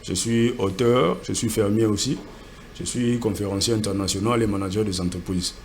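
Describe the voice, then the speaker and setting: A man's voice: lowish voice, moderate pace, a little mellow, a little hiss or hum. A man from Congo, a guest entrepreneur, answering earnestly in a TV studio interview and often repeating words as he searches for them.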